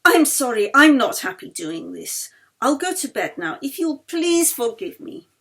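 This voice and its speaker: Uneasy female musician for audiobook drama, played by the woman narrator: raised pitch, husky, emphatic, politely protesting. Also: very animated, unhurried, fairly bright tone, heavily acted. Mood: apologetic, firm.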